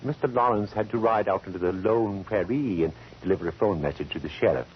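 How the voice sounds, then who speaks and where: A man playing a fussy, affectionate gentleman sidekick, speaking in a florid, arch, affectedly genteel way in 1940s radio style. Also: fairly low pitch, fairly quick, lightly acted. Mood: arch, chatty. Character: fussy, theatrical, affectionate gentleman best friend of detective. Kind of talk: 1940s radio comedy-drama acting. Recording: dull, hissy 1940s radio recording.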